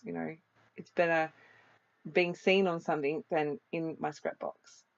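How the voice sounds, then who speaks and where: A woman with a slightly croaky, rather low voice talks through a voice-over in a relaxed, chatty way, with plenty of ums. The recording is dull and lo-fi. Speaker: crafting video creator. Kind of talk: casual voice-over narration of a craft process.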